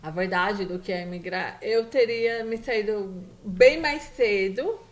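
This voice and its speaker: A middle-aged woman from Brazil, a guest on a casual live stream, telling stories in a warm, chatty way with lively quoted asides. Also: mid-range voice, a little slow.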